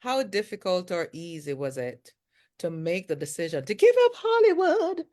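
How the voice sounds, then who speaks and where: A woman hosting an interview, asking questions in an unhurried, encouraging way. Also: lowish voice, big pitch swings, a little mellow. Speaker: interview host. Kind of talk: conversational interview.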